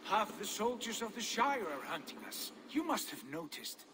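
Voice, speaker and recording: Big confident viking lord in a game, grand speech, echoing with noise behind him. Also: male voice, high voice, big pitch swings, moderate pace. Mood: tense. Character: proud, confident Viking chieftain, large Norseman. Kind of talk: dramatic game cutscene acting. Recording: reverberant, with clear background noise.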